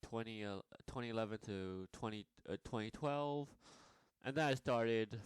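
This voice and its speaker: Young male vlogger from Nepal, low voice, very clear and dry, halting and full of fillers. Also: no echo. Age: young adult. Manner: hesitant, many fillers and restarts. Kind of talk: rambling personal vlog.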